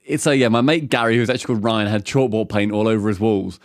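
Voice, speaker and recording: A man's voice: fairly low pitch, fairly even intonation, fast talker, no room sound, clean studio sound. A man co-hosting a podcast, telling a rambling anecdote with lots of ums and laughing asides. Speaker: podcast co-host. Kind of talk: casual storytelling chat.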